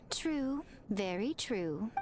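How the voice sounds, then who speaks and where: A woman's voice: fairly high pitch, very animated, drawn-out words, noisy background, heavily acted. Sly, lilting, elegant woman. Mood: coy, teasing. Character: sly, elegant, playfully mysterious woman of authority. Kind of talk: video game voice acting.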